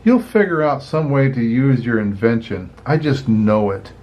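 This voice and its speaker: A man's voice: medium pitch, expressive, moderate pace, dull audio, natural voice. An older man voicing a kind, playful young female ant princess in a children's storybook, with a friendly, girlish manner. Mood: reassuring.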